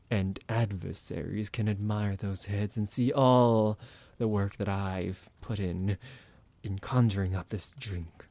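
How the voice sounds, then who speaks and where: A man's voice: fairly low pitch, steady tone, slow, mellow. A man playing a smug, psychotic vampire doctor in an ASMR roleplay, condescending, theatrical and menacing toward his captive. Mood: gleeful, self-satisfied.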